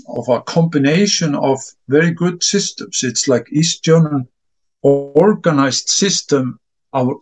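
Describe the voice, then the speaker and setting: A man's voice: mid-range voice, gravelly, darkish tone, low-quality recording. A middle-aged man from Iceland, a discus coach answering interview questions earnestly in non-native English, often repeating words as he gathers his thoughts. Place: home.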